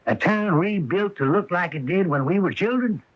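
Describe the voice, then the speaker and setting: Small town handyman on an old radio mystery, highish voice, questioning, plain talker, muffled tape. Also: lively intonation, normal speed. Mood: agitated, baffled. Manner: emphatic, questioning. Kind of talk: 1980s radio mystery drama acting. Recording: dull lo-fi radio broadcast recording.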